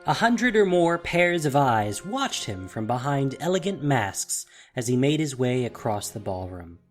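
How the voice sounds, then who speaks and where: A narrator tells a fantasy story in a dramatic, scripted style. The pitch moves widely and expressively, while quiet music plays behind the voice. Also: big pitch swings, a little slow. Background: soft music.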